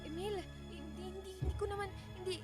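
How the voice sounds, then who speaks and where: A very high-pitched Filipino wife in a radio drama. She is emotional and exasperated, pleading with her husband over faint music. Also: little pitch movement, quick pace, a little mellow. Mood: panicked, pleading. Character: Thelma, distressed wife confronting her angry husband.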